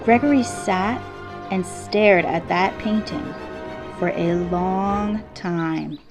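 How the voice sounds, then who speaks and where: A woman reading a children's picture book aloud expressively, with measured, deliberate phrasing and emphasis on key words. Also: medium pitch. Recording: classical symphony music playing behind. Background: orchestral classical music.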